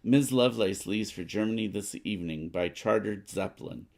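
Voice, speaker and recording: Low, creaky-voiced man at a game table. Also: moderate pace, a little mellow. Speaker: co-host of board game playthrough. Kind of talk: casual game chat and reading story text aloud.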